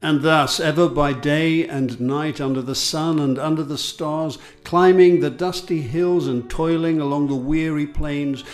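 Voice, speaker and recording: A man narrating classic literature from a script in a solemn, measured storytelling manner. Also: fairly high pitch, steady tone, natural voice. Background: music.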